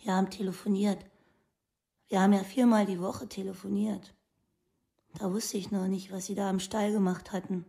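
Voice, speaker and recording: A woman's voice: mid-range voice, level tone, normal speed, creaky, dark tone, lightly acted. An actress playing a devoted, wistful woman of twenty-six, talking in plain short phrases in a documentary radio play. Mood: troubled. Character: devoted, wistful 26-year-old girlfriend of a prisoner.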